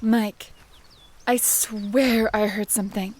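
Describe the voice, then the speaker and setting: Woman acting an affectionate young fiancée, mid-pitched. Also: steady tone, slow pace, a little mellow. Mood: affectionate, teasing. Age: young adult. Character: affectionate young female student, eager fiancée. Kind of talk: audio drama dialogue.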